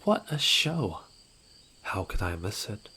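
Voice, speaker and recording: A man's voice: on the low side, very animated, slow pace, pushed and bright, slightly rough, full character voice. A man playing a mocking, theatrical villain in a fantasy audio drama, sardonic and mock-courteous. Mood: amused, taunting. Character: mocking, theatrical male villain, suavely amused rival. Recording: noisy night ambience with insects.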